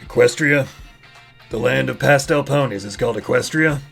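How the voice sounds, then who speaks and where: A man's voice: mid-range voice, normal speed, vocal fry, a bit bright, soft background music. A man voicing a short-tempered, blunt young man with a sarcastic way of talking.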